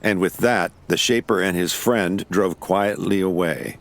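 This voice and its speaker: A man's voice: low-pitched, a little slow, vocal fry. An actor playing a weary, wry investigator who narrates his own case in an audio drama. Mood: wry, matter-of-fact. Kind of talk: first-person noir-style audio drama narration.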